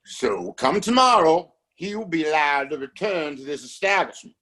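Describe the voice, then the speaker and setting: A man playing a domineering, self-righteous father who runs a Western town, in an audio drama, with formal, imperious speech and a husky voice. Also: on the high side, sing-song, moderate pace, pushed and bright, heavily acted. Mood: smug, self-satisfied.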